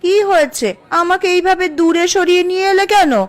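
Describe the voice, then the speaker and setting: A woman's voice: very high voice, moderate pace. A woman voicing a competitive, emphatic housewife in a Bengali family cartoon. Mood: friendly, agreeable. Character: jealous, competitive young housewife, quick to relent.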